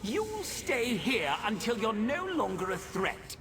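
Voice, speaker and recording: A man's voice: sits high, very animated, slow pace, heavily acted. A man voicing a haughty trickster demon prince in a video game, gleefully menacing, with a theatrical, condescending, mock-polite drawl. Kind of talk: video game villain acting.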